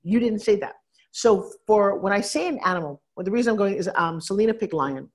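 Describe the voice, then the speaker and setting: A woman business coach on stage at a live seminar, teasing and bossing a guest with quick interruptions. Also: mid-range voice, expressive. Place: event stage with audience.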